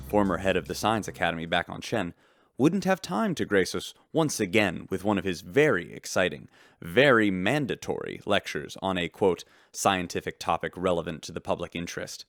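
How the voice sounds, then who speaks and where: An actor playing a polished, wry shipboard radio news reporter in a sci-fi audio drama. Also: male voice, sits low, very animated, normal speed, no echo, clean studio sound, soft background music. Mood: dryly sarcastic. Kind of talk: scripted radio news report with dry humor.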